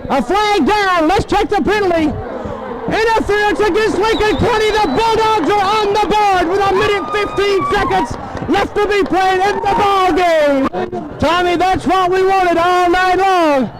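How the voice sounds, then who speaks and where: A man's voice: very high voice, a little slow, low-quality recording. A man calls live football play-by-play on a 1970s radio broadcast, in clipped phrases full of names and numbers.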